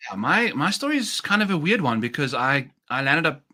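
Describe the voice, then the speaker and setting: Bright-voiced South African man. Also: on the high side, sing-song, fairly quick. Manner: upbeat, breezy slang. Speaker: guest golf betting analyst. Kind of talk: casual podcast chat.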